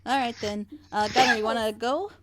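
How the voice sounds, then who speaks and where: Excitable, giggly woman hosting a group chat. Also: fairly high pitch, brisk, very noisy. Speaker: host, co-director of a fan audio drama group. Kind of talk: casual group chat introducing a reaction.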